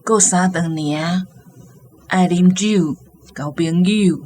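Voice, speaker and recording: A woman playing a 72-year-old Taiwanese mother recalling the past haltingly in a radio drama. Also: fairly low pitch, a little mellow, slight background noise. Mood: reminiscing.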